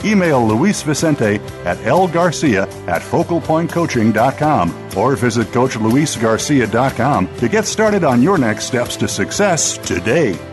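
A man reading radio promos and station announcements in an upbeat, polished announcer manner. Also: mid-range voice. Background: music bed.

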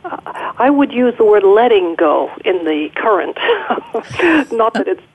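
A middle-aged woman speaking as an interview guest, reflective and measured, over a narrow phone-like line. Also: mid-range voice, sing-song, husky. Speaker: guest, leadership coach. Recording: muffled, band-limited phone-like line.

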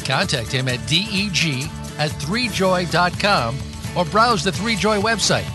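A man reading scripted radio promos and station announcements in a lively way. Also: high-pitched, a little slow, music in the background.

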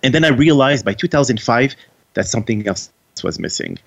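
A man's voice: medium pitch, animated, fairly quick, gravelly, fairly bright tone. Earnest Quebec French man, slightly muffled. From Quebec, Canada. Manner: earnest, with frequent restarts. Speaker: guest author. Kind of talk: interview answers.

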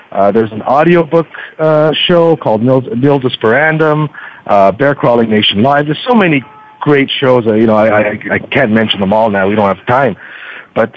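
A man's voice: mid-range voice, no room sound. A man speaking as an interview guest in a chatty, hesitant way full of ums and filler phrases, on lo-fi radio audio. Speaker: podcast host being interviewed as a guest. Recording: dull lo-fi radio sound.

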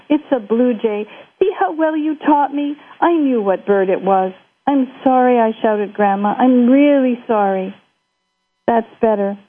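A woman reading a play, voicing an eager young boy who talks with breathless urgency. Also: a little high. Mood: gentle. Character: excitable young boy visiting grandma. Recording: tinny phone line.

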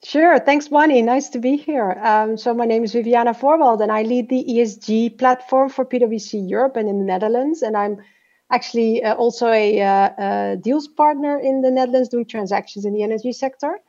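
A businesswoman raised in Peru speaks in a fairly high, mellow voice over a muffled lo-fi line. She answers in a podcast interview, pausing often with hesitant fillers. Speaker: guest, business executive.

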